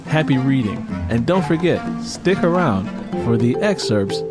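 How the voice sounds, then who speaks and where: Medium-pitched male podcast host. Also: very animated, a little slow, over loud music. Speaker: podcast host, author and audiobook narrator. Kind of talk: casual interview chat.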